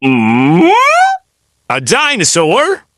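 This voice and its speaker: A woman voicing a calm, questioning father in a children's storybook, slow and gently puzzled. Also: low voice, no room sound, pitched below the performer's own voice, heavily acted. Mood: mild disbelief. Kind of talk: children's storybook read-aloud.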